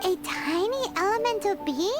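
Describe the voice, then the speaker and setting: A woman voicing a tiny childlike fairy companion, squeaky, pouty, bossy and excitable, in a video game. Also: normal speed. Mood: curious. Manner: speaks of self in third person, pouty. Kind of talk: video game character acting. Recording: loud game music under the voice.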